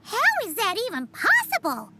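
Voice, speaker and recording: A woman voicing a small, excitable, childlike fairy companion in a video game with a squeaky voice. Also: moderate pace, heavy background noise, full character voice. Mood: baffled. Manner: refers to self by name, exclaims questions.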